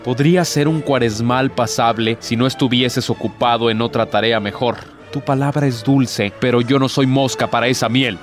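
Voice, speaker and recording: A man's voice: medium pitch, steady tone, moderate pace, few pauses, lightly acted. Guy doing a bitter proud devil in a radio play, sarcastic n theatrical, bit of music behind. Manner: theatrical, rhetorical, sardonic.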